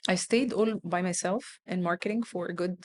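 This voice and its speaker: Low-voiced woman, earnest and thoughtful. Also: steady tone, a little fast. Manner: earnest, repeats words while thinking. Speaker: guest, country manager. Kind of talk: conversational interview.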